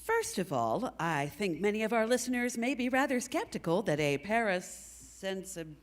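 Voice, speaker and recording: A woman plays a talk show interviewer with polite, dry skepticism. Her mid-pitched voice moves expressively through each question, in the manner of a live comedy audio sketch. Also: lively intonation, moderate pace, lightly acted. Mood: dryly skeptical. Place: recreation center hall, live stage performance.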